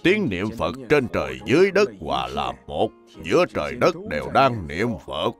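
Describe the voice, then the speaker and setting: Man voicing a calm, low-voiced elderly monk. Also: normal speed, over music. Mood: serene. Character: elderly monk master, calm, kindly and firm. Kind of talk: animated Buddhist drama dub.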